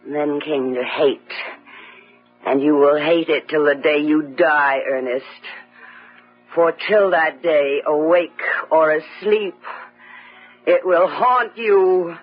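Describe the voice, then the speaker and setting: A woman playing a bitter, dying wife who taunts in a husky, drawn-out, theatrical gothic radio style. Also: low voice. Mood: menacing, taunting. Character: bitter dying wife, venomous, haunting, mocking. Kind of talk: old-time gothic radio acting. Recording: dull vintage radio recording, music behind.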